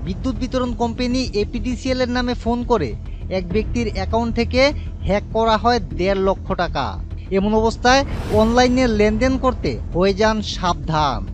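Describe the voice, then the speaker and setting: High-pitched male news narrator, music behind. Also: a little fast. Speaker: news reporter. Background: music bed.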